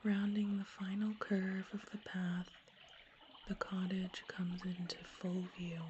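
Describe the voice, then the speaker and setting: A woman narrating a soothing ASMR sleep story in a hushed, breathy whisper, soft-spoken and unhurried. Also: fairly low pitch, muffled recording, a little hiss or hum.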